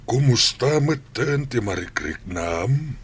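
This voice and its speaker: A man's voice: medium pitch, animated, slow pace, breathy edge. An actor with an Ilocano accent playing a stern but caring older grandfather in a radio drama. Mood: kindly. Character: stern, caring grandfather scolding his daughter-in-law.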